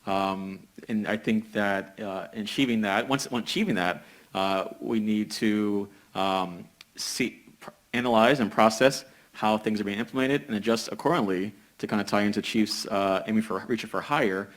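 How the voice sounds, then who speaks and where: A young man from a business school speaking on a panel, measured, with many "uh" hesitations. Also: lowish voice. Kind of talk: panel discussion.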